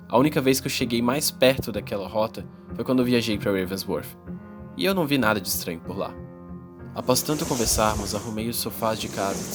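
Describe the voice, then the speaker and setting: A man's voice: fairly low pitch, natural voice. A man with a Brazilian accent playing an anxious yet eager young man narrating his adventure in a dramatized audiobook.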